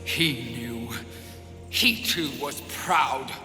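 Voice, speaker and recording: A man playing a proud demonic villain in a video game, slowly persuading like a grand orator. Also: medium pitch. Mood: proud, goading. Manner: slow, measured, persuasive oratory. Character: proud, grand demonic sin, a seductive tempter. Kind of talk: dramatic video game cutscene acting. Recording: echoey hall reverb, loud music behind.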